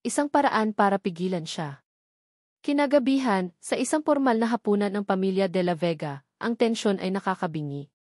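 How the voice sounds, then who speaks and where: A woman narrator with a Filipino accent delivers dramatic scripted storytelling at a medium pitch. The recording is dry, with no room sound around her voice. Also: expressive, moderate pace, a little mellow, dry recording.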